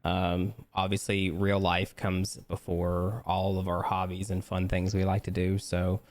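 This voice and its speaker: A man's voice: low voice, completely flat delivery, unhurried. A man co-hosting a gaming podcast, explaining things in a laid-back, conversational way. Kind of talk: casual chat during a game let's play. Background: music playing behind the voice.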